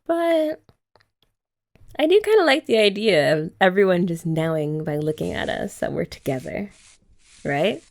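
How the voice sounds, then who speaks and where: Young woman, girlfriend roleplay ASMR, playful, teasing, chatty, very lively pitch. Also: unhurried, gravelly, pauses often. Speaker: ASMR creator.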